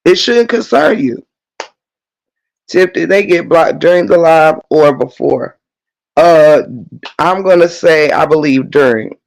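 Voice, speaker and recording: A man whose voice is worn down and hoarse, and a little stuffy, talks on his own livestream and reads out chat comments. His voice sits a bit high for a man. He rants with heavy swearing and hammers his points again and again. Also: slow, pauses often, poor audio. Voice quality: profane, emphatic ranting with repetition. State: hoarse, losing voice; congested. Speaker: livestream host. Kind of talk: casual livestream talk, reading chat.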